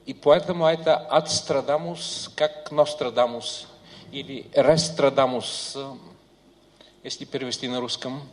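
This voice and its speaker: A man's voice: mid-range voice, steady tone, moderate pace. A man interpreting a seminar talk, hesitating and searching for words. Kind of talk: consecutive interpreting. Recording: hall reverb.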